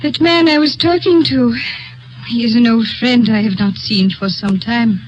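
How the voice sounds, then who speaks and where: An actress playing a poised companion who speaks in formal phrases with few contractions in a 1950s radio drama. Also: female voice, fairly high pitch, moderate pace, lightly acted. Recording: dull old radio recording with music.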